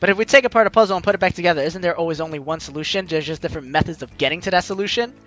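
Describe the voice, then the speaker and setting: A man hosting a game playthrough, reading visual novel lines aloud in an expressive, playful, theatrical way. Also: a little high, rapid-fire, dull audio, faint music behind.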